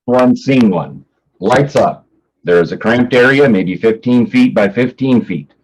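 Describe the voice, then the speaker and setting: A man's voice: mid-range voice, unhurried, breathy edge, low-quality recording. A man presenting a staged play reading as announcer and stage directions reader, plain and matter-of-fact. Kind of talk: scripted narration of stage directions.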